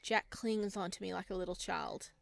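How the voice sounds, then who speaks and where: A woman reading a visual novel aloud as a let's player, casual and expressive, with jokey commentary. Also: on the low side, moderate pace, creaky, no room sound.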